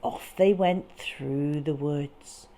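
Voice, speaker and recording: A woman reading a picture book to young children in a dramatic, expressive way with deliberate phrasing. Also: deep voice. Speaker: children's storyteller.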